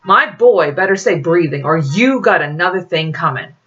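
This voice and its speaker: Grumbling low-voiced sidekick played by a woman. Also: animated, moderate pace, fairly bright tone, highs cut off. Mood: indignant. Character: indignant, grumbling, protective sidekick.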